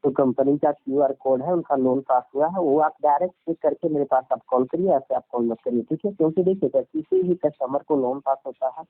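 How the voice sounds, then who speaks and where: A man's voice: a little high, sing-song, brisk, few pauses. A man talking like a phone loan agent, insistent and repetitive, interrupting and constantly using polite forms of address. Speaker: caller presenting himself as finance company loan agent. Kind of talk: persuasive phone sales talk. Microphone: telephone. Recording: tinny narrowband phone call recording.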